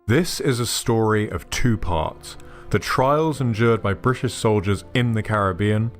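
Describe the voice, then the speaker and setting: A man giving measured, formal scripted narration for a documentary. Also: on the low side, faint music behind.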